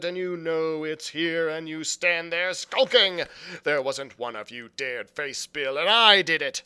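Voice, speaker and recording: A man voicing a furious, bullying, blind old pirate in a storybook reading, ranting with drawn-out, emphatic words. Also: on the high side, bright, forceful tone, clear, resonant tone, full character voice.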